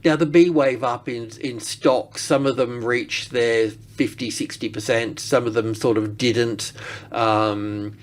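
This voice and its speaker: A man giving unscripted market analysis as he works through charts, rambling and halting as he thinks aloud. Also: medium pitch, fairly even intonation.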